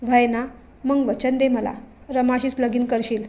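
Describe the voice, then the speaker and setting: A woman's voice: on the high side, steady tone, a little slow, warm tone, natural voice. A woman doing a pleading village mother. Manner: pleading, emotional.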